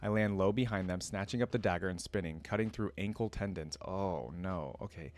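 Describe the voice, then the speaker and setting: A man with a fairly low voice hosts a reaction video. He moves between reading fiction aloud and tossing in his own casual, expressive remarks as a fan. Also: a little fast.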